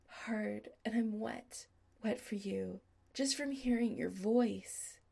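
A woman's voice: medium pitch, slow, vocal fry, dark tone, husky, heavily acted. A woman voicing a needy young man who pleads in a breathless, whispery voice. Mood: needy, pleading.

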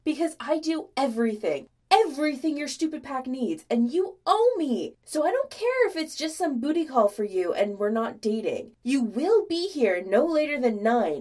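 Frantic young man played by a woman: sarcastic, snappish story character, raised pitch, very animated delivery. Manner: exasperated, emphatic, rambling.

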